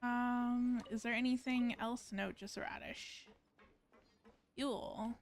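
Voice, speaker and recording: A woman's voice: a little high, steady tone, slow pace, slight background noise. A woman giving casual live gameplay commentary, musing and thinking aloud in a hesitant way. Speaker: game streamer.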